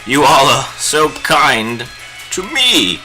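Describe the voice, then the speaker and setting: A man's voice: medium pitch, big pitch swings, slow pace, over music. A young man hosting a live painting stream, chatting in a rambling, jokey way while he works. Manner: rambling and jokey, lots of fillers. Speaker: livestream host teaching digital painting.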